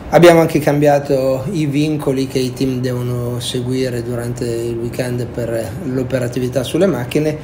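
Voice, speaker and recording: A man, a team's sporting director, giving a deliberate, formal explanation of new season rules. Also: medium pitch, dark tone, a little hiss or hum. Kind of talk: formal statement to camera.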